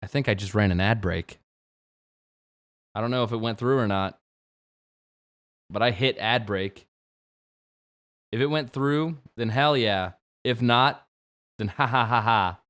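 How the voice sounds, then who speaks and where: A man in his twenties, a game streamer, chatting jokily on a late-night livestream and jumping from low mumbles to sudden shouts. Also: unhurried, pauses often. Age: 20s. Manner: playful, jokey, swings from mumbling to sudden shouts.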